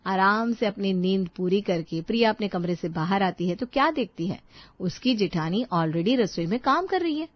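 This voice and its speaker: A woman's voice: mid-range voice, animated, moderate pace, no room sound, muffled recording. A woman narrating a scripted story as a storyteller.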